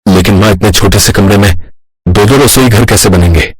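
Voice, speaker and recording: A man voices a young village son in a cartoon family tale. He has a very low, husky voice in a dry recording and speaks earnestly and plainly. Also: normal speed, no room sound, faint music behind, poor audio. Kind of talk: Hindi animated moral-story acting.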